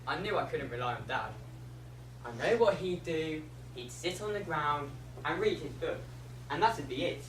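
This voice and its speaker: Man playing an animated, high-voiced schoolboy, echoey and distant. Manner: earnest, confiding to the listener. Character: lonely, scheming ten-year-old schoolboy son of a widower. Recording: echoey room from far off, loud noise.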